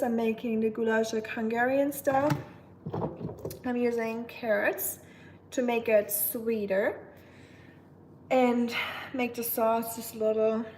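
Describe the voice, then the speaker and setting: Brief: young German-accented woman, raised voice, slow easygoing delivery, sarcastic chat host over loud chopping. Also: fairly high pitch. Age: young adult. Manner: chatty, dry sarcastic humor, answers viewer comments. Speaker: cooking streamer. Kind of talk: casual live-stream chat while cooking. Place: home kitchen. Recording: knife chopping noise behind.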